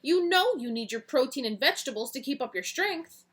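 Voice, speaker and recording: A woman's voice: sits high, lively intonation, brisk, a bit bright, pitched above the performer's own voice. A woman doing a flustered, kindly farm lady. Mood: motherly. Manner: flustered, pleading, then motherly. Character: kind, fussing farm lady.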